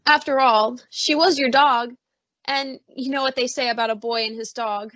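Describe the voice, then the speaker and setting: High, bright-voiced doting mother played by a woman. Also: steady tone, normal speed, no room sound. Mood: probing. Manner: motherly, gently chiding. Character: doting, fussing mother of a grown son. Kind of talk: old-time radio suspense acting. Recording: slightly dull, muffled audio.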